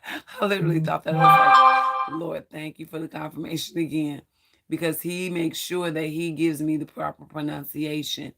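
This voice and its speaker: A Bible study host with a hoarse, strained voice, reading scripture aloud and adding earnest spiritual commentary, stumbling now and then over hard names. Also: mid-range voice, little pitch movement, moderate pace, bright, forceful tone, faint music behind. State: hoarse, losing voice.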